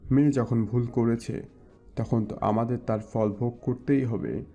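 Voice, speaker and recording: A man playing an apologetic, resigned father of a bride, husky and subdued, in an audio drama. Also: medium pitch, fairly even intonation, measured pace, dark tone. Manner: subdued, conciliatory. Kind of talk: audio story acting. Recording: dull muffled recording, music behind.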